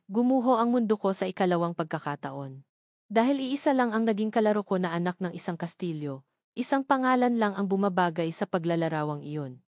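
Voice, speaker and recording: A woman's voice: medium pitch, darkish tone, no room sound. Woman narrating a dramatic story, dry sound. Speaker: narrator of a love story channel. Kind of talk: scripted dramatic first-person story narration.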